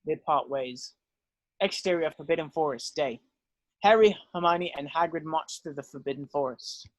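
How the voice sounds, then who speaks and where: A man's voice: on the high side, moderate pace, no echo, rough recording. A man reading stage directions aloud as the narrator of a script read.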